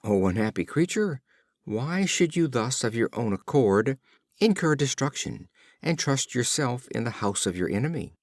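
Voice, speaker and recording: A man's voice: medium pitch, animated, moderate pace, no echo, clean studio sound. A man voicing a kindly, solemn ox in a storybook fable, speaking earnestly and formally. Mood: concerned warning. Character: kindly, solemn ox giving warning.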